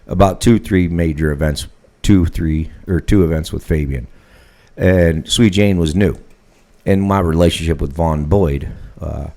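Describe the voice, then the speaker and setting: A man co-hosting a casual podcast, rambling through a story with animated asides. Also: low voice. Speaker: podcast co-host.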